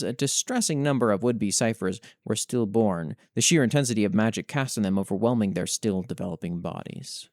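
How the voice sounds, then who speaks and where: Low-voiced man, close dry sound. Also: darkish tone, dry recording. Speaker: host reading and reviewing listeners' fantasy writing. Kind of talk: scripted reading with casual commentary.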